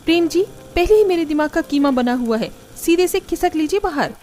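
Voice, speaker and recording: A woman voicing an exasperated, sarcastic young daughter-in-law in a cartoon story, complaining with a sharp tongue. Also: sits high, expressive, fairly quick, slight background noise, voice pushed up. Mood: angry.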